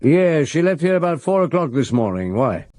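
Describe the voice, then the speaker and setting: A man's voice: fairly high pitch, big pitch swings, moderate pace, darkish tone. An actor playing a seasoned police chief inspector who narrates his case in a dry, understated way in a radio drama. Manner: dry, wry, understated storytelling. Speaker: narrator and lead detective.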